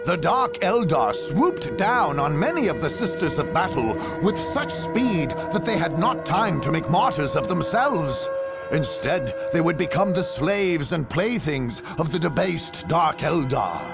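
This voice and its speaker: An actor voicing a grave, ominous chronicler of war in dramatic scripted game narration, with a gravelly, husky voice and deliberate delivery. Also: male voice, fairly high pitch, big pitch swings, over loud music, heavily acted. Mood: grim relish.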